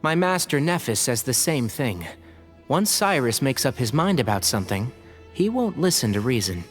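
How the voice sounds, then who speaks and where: An earnest young ranger, played by a man, talks thoughtfully with a lively, rising and falling tone. Faint game music plays softly underneath. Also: mid-range voice, moderate pace, lightly acted. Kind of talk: game cutscene voice acting.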